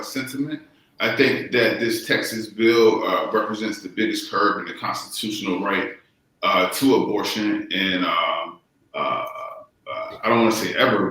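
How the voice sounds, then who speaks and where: A man's voice: lowish voice, bright, forceful tone. A man, a lawyer, explaining a legal point on a panel, emphatic and repeating his key phrases. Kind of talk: casual panel discussion. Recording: very echoey room.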